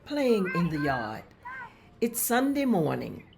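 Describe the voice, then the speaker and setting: A woman reads stage directions aloud in a plain, steady, even way. Her voice is on the low side. The recording carries clear background noise. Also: slow pace. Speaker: narrator reading stage directions. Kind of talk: table-read narration of a script.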